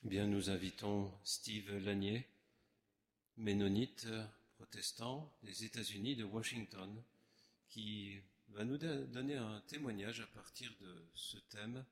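Priest host introducing a guest; lowish voice, unhurried, formal and measured. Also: male voice, steady tone. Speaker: priest hosting the gathering.

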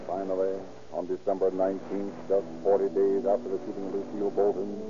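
An actor playing a grave, dramatic crime story narrator, solemn and emphatic, in 1930s radio style. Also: male voice, low voice, completely flat delivery, a little fast, natural voice. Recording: dull old radio recording, loud music.

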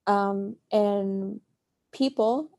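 Young woman with a mellow voice. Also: medium pitch, drawn-out words. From Mexico. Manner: animated storyteller, acts out quoted lines. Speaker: podcast co-host. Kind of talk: casual chat.

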